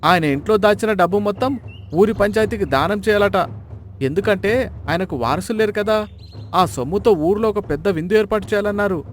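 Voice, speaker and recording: A man's voice: sits high, a little fast, a little hiss or hum, close to an everyday voice. A man voicing a sly, mock-solemn villager in a dramatised storybook tale.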